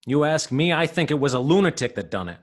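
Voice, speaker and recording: An actor playing a defensive, rough-edged police detective in a radio drama, raspy and emphatically colloquial. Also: male voice, fairly high pitch, big pitch swings, fast talker. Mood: opinionated. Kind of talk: old-time radio drama acting.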